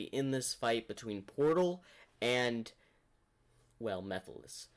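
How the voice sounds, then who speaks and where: A man hosting a reaction video, thinking aloud in casual, rambling commentary. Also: mid-range voice.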